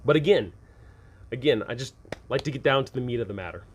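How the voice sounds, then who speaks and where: A man's voice: mid-range voice, expressive, fast talker, a little hiss or hum. Emphatic man giving informal advice. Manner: conversational, emphatic, repeats points. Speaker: video host and game master. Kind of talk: informal advice talk to viewers about running tabletop games.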